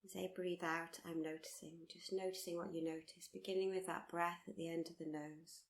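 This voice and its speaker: Woman, meditation teacher, lowish voice, very level intonation, soothing, unhurried, gentle guidance. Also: level tone. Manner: soothing, unhurried, gently encouraging with repeated phrases. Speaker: meditation teacher leading a body scan. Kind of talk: guided meditation. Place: live workshop.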